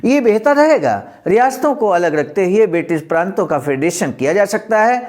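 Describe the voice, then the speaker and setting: A man's voice: high-pitched, expressive, normal speed, darkish tone. A man presenting a historical political speech, reading it aloud in a formal, explanatory and oratorical manner.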